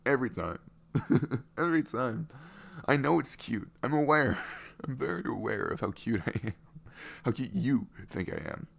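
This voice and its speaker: A man's voice: mid-range voice, animated, moderate pace, gravelly, pitched above the performer's own voice. A man playing an adorable, lovestruck young boyfriend in an ASMR romance roleplay, giggly and gushing as he stumbles over words. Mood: giggly, playful.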